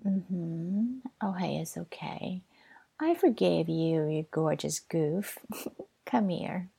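A woman performing an intimate audio roleplay, speaking softly and tenderly, often in a whisper. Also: fairly low pitch, expressive, slow pace, creaky, husky. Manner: soft, soothing, often whispered; tender, doting.

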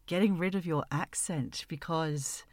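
An interviewer chatting casually, lively and quick to laugh, with frequent short replies. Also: mid-range voice, no room sound. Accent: non-standard, self-noted.

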